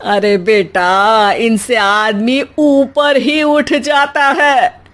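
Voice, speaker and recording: A woman acts out a fed-up middle-aged mother-in-law from a cartoon comedy. Her voice is fairly high and drawn out. She scolds with homely, sarcastic wit. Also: fairly even intonation, very slow, fairly bright tone. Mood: exasperated. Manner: scolding, wry, colloquial.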